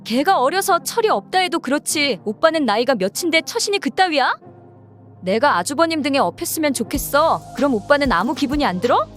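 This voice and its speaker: Female narrator as angry jealous wife, raised high pitch, scolding delivery, radio drama, faint music. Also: sing-song, a little fast. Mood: furious, scolding. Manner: sharp, scolding.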